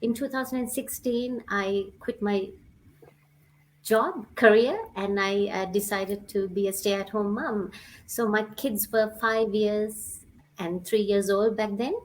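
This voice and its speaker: A woman in her forties, originally from Sri Lanka, gives a personal testimonial. She speaks hesitantly, filling her pauses with many ums and uhs, at a mid-range pitch. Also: fairly even intonation, slight background noise, poor audio. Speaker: guest sharing her story.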